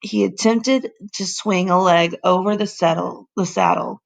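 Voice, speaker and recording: A woman narrates a children's storybook in a medium-pitched voice with noticeable creak. Her delivery is deliberate and expressive, like a teacher reading aloud to a class. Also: poor audio.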